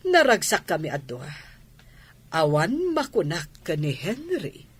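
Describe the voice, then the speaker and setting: A woman's voice: fairly low pitch, wildly swooping pitch, slow, slightly rough, full character voice. An actress playing a composed, well-off businesswoman in a radio drama, with an Ilocano Filipino accent. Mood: fond. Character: composed well-off woman restaurant owner defending her driver.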